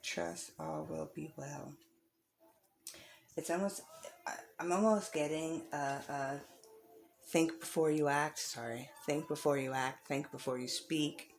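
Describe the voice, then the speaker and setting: A woman's voice: sits low, fairly even intonation, slow, vocal fry, slight background noise. A woman reading tarot cards casually for the viewer, encouraging and reassuring, repeating phrases for emphasis. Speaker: tarot reader. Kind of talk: casual spiritual card reading, addressed to the viewer.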